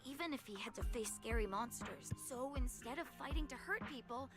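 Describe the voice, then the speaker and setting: A woman's voice: sits high, a little fast, over loud music. An actress voicing an earnest, innocent young boy in a video game, with a sincere, slightly hesitant childlike manner. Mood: earnest, hopeful.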